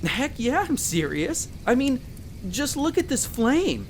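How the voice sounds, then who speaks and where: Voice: sits high, sing-song, takes it slowly, a little hiss or hum, heavily acted. A performer playing a friendly, protective young elf explorer in a roleplay audio drama, chatty and reassuring, speaking to the listener. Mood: excited.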